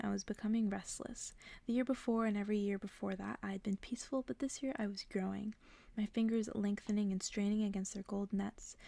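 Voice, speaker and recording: Whispering, creaky-voiced woman reading a bedtime story. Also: mid-range voice, no echo. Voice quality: soft, breathy whisper. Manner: hushed, gentle narration. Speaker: ASMR creator reading stories aloud. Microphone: close-up microphone.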